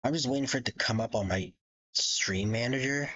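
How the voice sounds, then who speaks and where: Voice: mid-range voice, fairly quick, a bit bright, dull audio. A live streamer chatting casually in a rambling, giggly way and swearing freely. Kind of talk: casual livestream chat.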